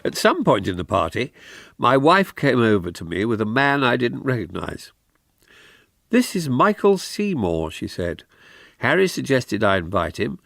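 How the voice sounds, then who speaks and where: An actor playing a measured older former politician and lawyer reminiscing in a radio drama. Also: male voice, medium pitch, big pitch swings, vocal fry, a bit bright, no echo, exaggerated voice. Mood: measured reminiscence.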